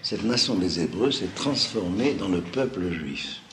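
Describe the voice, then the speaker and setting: A man from Algeria, a native Judeo-Arabic speaker with a slight Toulouse-like French accent, giving an unscripted, didactic lecture in a halting way with emphatic repetitions. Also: deep voice, lively intonation, fairly bright tone. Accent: slight southwestern French (Toulouse-like). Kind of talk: extemporaneous lecture to listeners. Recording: roomy echo, faint background noise.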